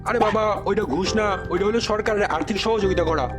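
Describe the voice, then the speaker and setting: High-pitched corrupt minister, acted by a man, Bengali dialect. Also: fast, close to an everyday voice. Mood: brazen. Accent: eastern Bengali dialect. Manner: brazen, colloquial, excuse-making. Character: lazy, corrupt government minister, brazen and evasive. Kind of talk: comedy cartoon dubbing. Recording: loud music behind the voice.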